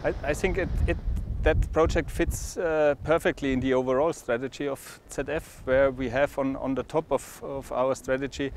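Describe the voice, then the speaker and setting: A middle-aged man being interviewed outdoors on a lapel mic, speaking haltingly with many restarts and fillers. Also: mid-range voice, steady tone, a little mellow, a little hiss or hum. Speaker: industry executive interviewed. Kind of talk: interview answers. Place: outdoors at a boat show marina.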